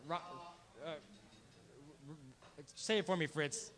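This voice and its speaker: A man's voice: on the high side, takes it slowly, fairly bright tone. A man from Southern California hosting a live beer and cheese pairing, explaining tastes in a friendly, casual way. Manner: friendly, explanatory, some restarts. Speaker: host. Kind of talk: casual live tasting talk.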